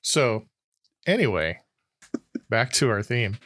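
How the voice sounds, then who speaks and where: A man running a trivia quiz on a podcast, explaining the rules in a halting way with frequent restarts. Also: fairly low pitch, big pitch swings, fairly bright tone, no echo, studio quality. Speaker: quizmaster. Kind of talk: casual chat, explaining rules.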